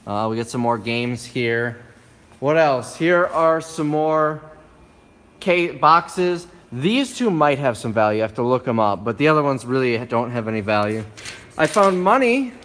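A man filming on his phone indoors, casually listing items from a storage unit in a quick, offhand way. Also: medium pitch, lively intonation, fairly bright tone. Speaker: reseller showing a storage unit haul. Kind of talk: casual walkthrough narration. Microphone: handheld phone camera.

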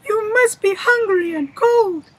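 Woman playing a high-voiced motherly zookeeper's wife. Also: slow pace, low-quality recording. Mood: concerned. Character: kindly, motherly zookeeper's wife, caring and eager.

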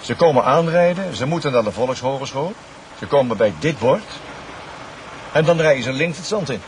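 A man's voice: mid-range voice, animated, moderate pace, slight background noise, natural voice. A man with a Netherlands Dutch accent playing a weary, matter-of-fact police detective in a radio drama, with clipped questions. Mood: weary, explaining. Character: weary, sleepless male police detective investigating murders. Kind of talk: naturalistic radio drama acting.

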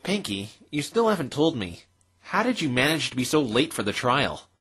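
A woman's voice: very deep voice, big pitch swings, normal speed, fairly bright tone, breathy edge, exaggerated voice. A woman voicing an earnest, easily flustered young male defense lawyer in a visual novel dub, stammering at times. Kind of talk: visual novel fandub acting.

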